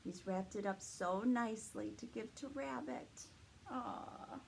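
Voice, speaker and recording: A woman hosting a story time for small children, talking to young viewers in an animated, sing-song way. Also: a little high, slow pace, vocal fry, a little hiss or hum. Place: indoors in a library.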